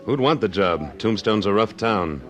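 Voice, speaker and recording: An actor playing a tough, independent cattleman in a radio western, laconic and deadpan. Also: fairly low pitch, measured pace, faint music behind. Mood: dry, firm. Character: tough, dry, independent cattleman of the Old West. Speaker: lead actor and narrator. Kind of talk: 1950s radio western acting. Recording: vintage radio drama recording.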